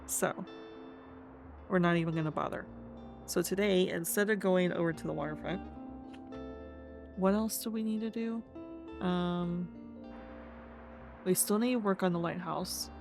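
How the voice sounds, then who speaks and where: A woman commentating casually as she plays a video game, hoarse and losing her voice, talking quietly to herself. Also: lowish voice, fairly even intonation, unhurried, pauses often, over music. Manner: quiet, subdued, talking to herself while playing. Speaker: gaming video host. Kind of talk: casual let's-play commentary.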